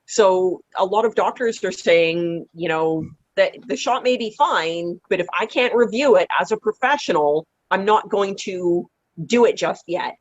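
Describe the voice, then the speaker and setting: A woman's voice: unhurried, no echo. A woman in a casual radio discussion, explaining carefully and rephrasing as she goes, on muffled audio. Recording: muffled, dry low-fidelity call audio.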